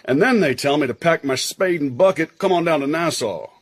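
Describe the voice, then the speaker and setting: An actor playing a jaunty, irreverent American intelligence agent in a radio drama, husky and slangy, with an American accent. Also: male voice, a little high, expressive, brisk, no room sound. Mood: jaunty, teasing. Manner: slangy, wisecracking.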